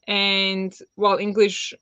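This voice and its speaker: A woman's voice: mid-range voice, monotone, slow pace, smooth, no echo. Woman chatting on a book stream. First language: Slovak. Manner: chatty, warm replies to viewers with frequent fillers and laughs. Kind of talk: live-stream chat reading out comments.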